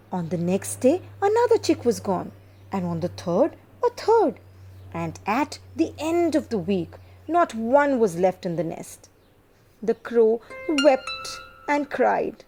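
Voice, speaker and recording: A woman narrating a children's bedtime folk tale in an unhurried, expressive storytelling manner. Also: on the high side.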